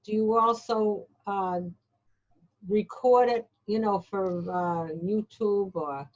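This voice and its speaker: A woman's voice: mid-range voice, takes it slowly. A woman host asks curious, meandering questions over a video call. Her voice sits mid-range for a woman, and the call audio comes through muffled and lo-fi. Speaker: TV show host.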